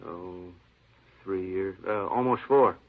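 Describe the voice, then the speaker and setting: An actor playing a talkative, puzzled appliance store manager, hesitant and seeking agreement, in an old radio drama. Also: male voice, low voice, slow. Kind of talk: 1950s radio drama acting. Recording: muffled old radio recording.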